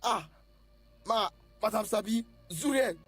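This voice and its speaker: A woman's voice: medium pitch, a little slow, faint music behind, close to an everyday voice. Woman acting a timid, stammering Nigerian servant. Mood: frightened, hesitant. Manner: hesitant, stammering. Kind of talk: radio drama acting.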